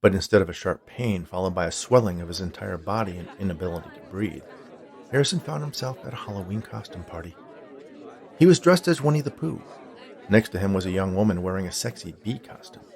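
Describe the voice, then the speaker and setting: A man's voice: sits low, expressive, brisk, creaky, darkish tone, some chatter behind. A man reading a scripted short story aloud as its narrator.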